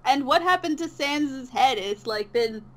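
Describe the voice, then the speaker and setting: A woman's voice: high-pitched, lively intonation, measured pace, a bit bright. A woman chatting casually as an interview guest, with a giggly, hesitant way of talking. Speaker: interview guest, voice actor who makes comic dubs. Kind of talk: casual chat.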